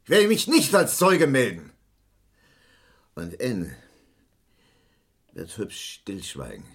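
An actor playing a sly, stubborn witness in a 1970s German radio crime drama, wary and emphatic with a sardonic edge and a husky voice. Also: male voice, mid-range voice, wildly swooping pitch, takes it slowly, heavily acted. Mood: firm, defiant. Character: sly, stubborn male witness profiting from hush money.

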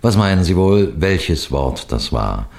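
A shrewd police detective in a 1960s radio play. His voice is very deep and measured. He probes politely, teases gently and narrates his own case with genial authority. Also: big pitch swings, gravelly, heavily acted. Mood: sly. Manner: measured, probing, gently teasing.

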